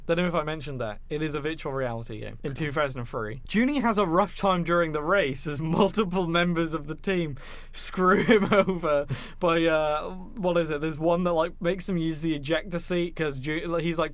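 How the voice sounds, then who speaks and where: Higher-voiced man hosting a casual film podcast. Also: normal speed, hardly any pauses, dry recording. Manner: informal, slangy, sweary. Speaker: podcast host. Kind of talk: casual film discussion with plot recap.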